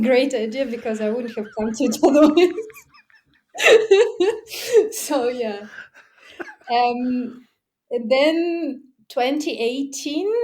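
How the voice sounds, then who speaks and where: A woman being interviewed about her diving, talking casually with frequent laughter and acting out her own remarks. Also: fairly high pitch. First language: not German. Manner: lively, laughs often, acts out her own past remarks; restarts and fillers like "like". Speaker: guest, technical diver and underwater photographer.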